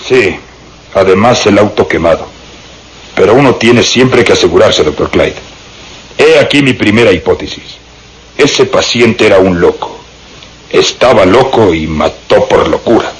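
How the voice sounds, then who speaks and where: An actor playing a pompous, harried police inspector in a melodramatic radio serial, with declamatory delivery. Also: male voice, on the low side, expressive, unhurried. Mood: confident. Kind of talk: melodramatic Spanish-language radio serial acting. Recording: noisy radio serial recording.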